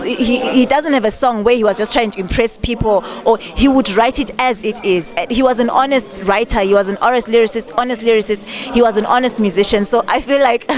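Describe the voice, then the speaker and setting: A Zimbabwean woman gospel singer being interviewed outdoors, speaking earnestly and laughing often. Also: medium pitch. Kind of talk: on-the-street interview. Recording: crowd noise behind.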